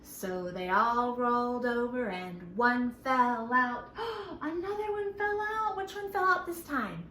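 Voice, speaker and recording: A woman's voice: a little high, slow pace, hardly any pauses, bright, forceful tone, slight background noise. A woman leading a children's storytime, talking in an exaggerated singsong way as if to small children. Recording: echoey room.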